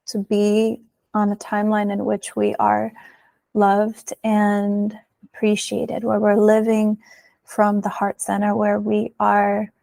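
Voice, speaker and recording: A woman leading a guided meditation in a soothing manner, pausing often, with soft phrase endings. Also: medium pitch, creaky, no echo. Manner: slow, soothing delivery with long pauses.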